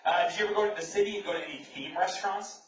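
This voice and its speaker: A man's voice: sits high, very fast. Man in his thirties doing chatty crowd work. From New Jersey. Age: 30s. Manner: chatty, teasing the audience. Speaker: stand-up comedian. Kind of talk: conversational stand-up with crowd work. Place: comedy theater stage before a live audience. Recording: roomy, distant, dull live-show audio. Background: audience laughter.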